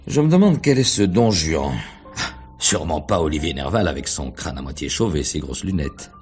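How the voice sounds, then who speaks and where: An actor playing a probing, sly middle-aged police inspector in a radio mystery play, questioning in a hesitant, deliberate way. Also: male voice, rumbling low, lively intonation, a little mellow, faint music behind. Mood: sly suspicion. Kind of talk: French radio mystery play acting in 1950s manner.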